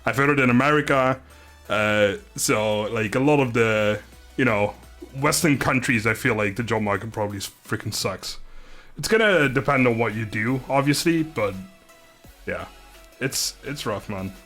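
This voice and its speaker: Rambling, low-energy male streamer from the Netherlands. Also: moderate pace, fairly bright tone. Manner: rambling, laid-back, swears mildly, often chuckles. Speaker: live streamer. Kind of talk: casual livestream chat with viewers. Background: music.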